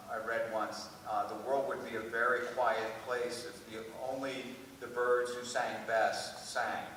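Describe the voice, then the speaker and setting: Distant male panelist, informal and conversational, mid-pitched, heavy hall reverb. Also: bright, forceful tone. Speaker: college admissions officer on a panel. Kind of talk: panel discussion, anecdotal. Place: large room at a public panel event. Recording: echoey hall from far off, noisy.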